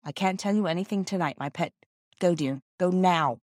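Woman as a gentle, careworn mother. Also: lowish voice, moderate pace, no room sound, studio quality, close to an everyday voice. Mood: strained, tender. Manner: tender, restrained, firm.

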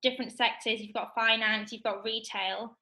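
A woman's voice: on the high side, level tone, rough recording. A young woman in her twenties, a guest explaining her job in a casual chat with lots of "kind of" and "like". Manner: bright, quick, lots of fillers like "kind of" and "like". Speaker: guest, assistant buyer. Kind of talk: casual interview.

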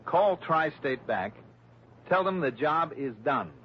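A man's voice: fairly high pitch, animated, takes it slowly, a little hiss or hum. An American man playing a wry, weary insurance investigator in a 1960s radio drama, dry and sardonic. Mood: smug, wry. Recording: muffled old radio recording.

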